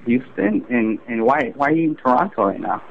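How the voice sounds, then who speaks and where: A man in his twenties chatting on the telephone, halting and questioning, often repeating himself. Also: mid-range voice, sing-song, vocal fry. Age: 20s. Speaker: prank call target. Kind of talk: casual phone chat. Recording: noisy, tinny phone line.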